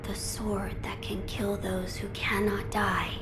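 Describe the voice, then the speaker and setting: A woman's voice: mid-range voice, flat delivery, takes it slowly, over music, lightly acted. A woman voicing an earnest, noble young boy lord in a game cutscene, formal and thoughtful in delivery. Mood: grave. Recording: clear ambient noise behind dialogue.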